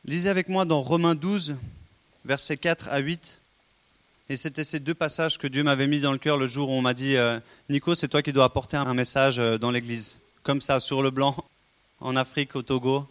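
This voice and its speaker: A man's voice: medium pitch, fairly even intonation, normal speed, fairly bright tone, clear, resonant tone. A man preaching a sermon, earnest and exhorting, with frequent rhetorical questions to the congregation.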